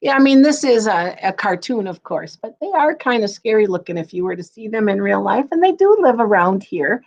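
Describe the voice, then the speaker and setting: A woman reading and chatting for a children's storytime in a playful, expressive way. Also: medium pitch, fairly quick, creaky, muffled recording. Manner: playful, expressive, sing-song when reading. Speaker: guest storyteller. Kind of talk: children's storytime read-aloud and casual chat.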